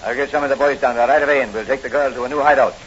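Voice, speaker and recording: Man acting a blustering crook, medium pitch, slangy tough talk, shifty, 1940s crime radio, dull hissy sound. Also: fairly even intonation, very fast, lightly acted. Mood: eager, obedient. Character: shifty, blustering male gangster bar owner. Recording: muffled, hissy old radio recording.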